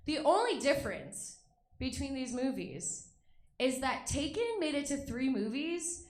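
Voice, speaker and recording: Young woman doing stand-up in a club, high bright voice, giggly and self-deprecating, echoey room. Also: very animated, a little slow. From Russia. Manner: bubbly, self-mocking, laughs between jokes. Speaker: stand-up comedian. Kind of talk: stand-up comedy set. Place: comedy club stage.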